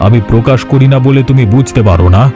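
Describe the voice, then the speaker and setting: A man voicing a gentle, worried elderly village father in a cartoon, with a calm and patient delivery. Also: medium pitch, quick pace, over music, close to an everyday voice. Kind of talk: cartoon voice acting.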